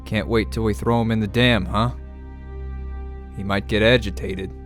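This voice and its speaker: Confident military captain, man acting, lowish voice, plain-spoken, self-assured, a touch dismissive, animated short, faint music. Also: moderate pace, natural voice. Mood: wry.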